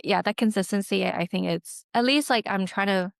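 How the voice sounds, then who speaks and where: A woman's voice: medium pitch, normal speed, gravelly, dry recording, clean studio sound. A woman co-hosting a podcast, speaking conversationally with frequent restarts. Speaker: podcast co-host. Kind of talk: casual conversation.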